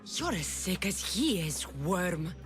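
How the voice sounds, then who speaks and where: A woman's voice: fairly low pitch, sing-song, slow, faint music behind. A woman playing a tough, protective young mercenary in a video game, dry and defiant. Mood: fierce, threatening.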